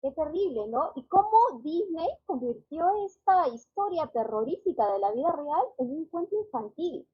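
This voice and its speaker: A woman's voice: sits high, expressive, moderate pace, hardly any pauses. A woman host chatting casually with her co-host in a muffled, lo-fi recording. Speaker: show host. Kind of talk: casual co-hosted chat. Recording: dull, lo-fi audio.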